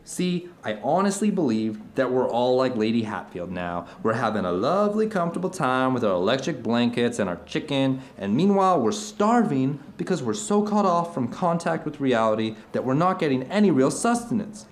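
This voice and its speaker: Man playing an earnest, animated philosophical dinner companion. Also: mid-range voice, moderate pace, hardly any pauses, natural voice. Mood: urgent, philosophical. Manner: emphatic, searching, asks rhetorical questions. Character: earnest, philosophical man telling anecdotes over dinner, urging his friend. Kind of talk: naturalistic audio drama dialogue.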